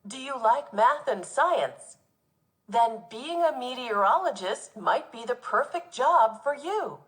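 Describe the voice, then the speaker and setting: A woman teacher reading a nonfiction children's book aloud in an expressive, instructive way for young pupils. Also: on the high side.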